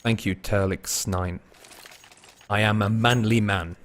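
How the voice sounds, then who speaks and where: A young man casting an esports match, laid-back and tired between plays, rising to fast, excited calls when the action heats up. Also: on the low side, slight background noise. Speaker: esports caster. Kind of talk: live esports commentary mixed with chatting to viewers.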